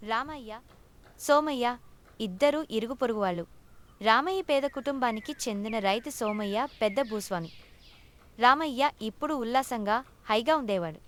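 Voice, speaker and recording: A woman's voice: a little high, lively intonation, moderate pace, pauses often, fairly bright tone. A woman narrating a scripted moral story for children as a storyteller.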